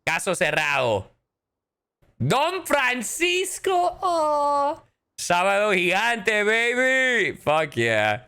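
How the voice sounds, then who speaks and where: A man bantering on a livestream in playful exclamations, switching between English and Spanish, with a creaky voice. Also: high voice, wildly swooping pitch, slow, bright, forceful tone. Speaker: streamer.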